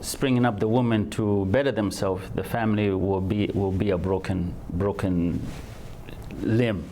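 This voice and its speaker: A man from Tanzania answers an interviewer's questions in a low voice. He talks haltingly, repeating words and restarting sentences as he searches for the right phrasing. A faint noise sits under the recording. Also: steady tone, dark tone. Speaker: guest.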